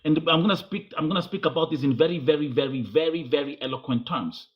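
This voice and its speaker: A man's voice: a little high, fast, muffled recording. A man with a Kenyan accent hosting a confrontational interview, insistent and often repeating phrases as he presses his point. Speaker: host.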